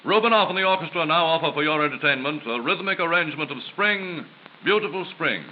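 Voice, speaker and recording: A man makes formal, clipped announcements in 1930s radio style. His voice is high, and the old recording is dull with clear noise. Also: animated, fast talker.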